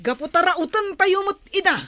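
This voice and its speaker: High-voiced, bold warrior woman. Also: animated, moderate pace. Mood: rallying, resolute. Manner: commanding, rallying calls. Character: bold, eager young female warrior rallying her comrades. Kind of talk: radio drama acting.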